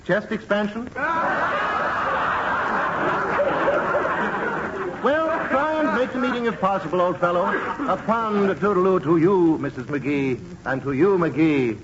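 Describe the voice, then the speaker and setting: An actor playing a pompous, droll gentleman with deliberate, flowery delivery in a 1940s radio comedy. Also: high-pitched, lively intonation. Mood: grandly droll. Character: pompous, droll male neighbour with a flowery turn of phrase. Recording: muffled, noisy 1940s radio recording.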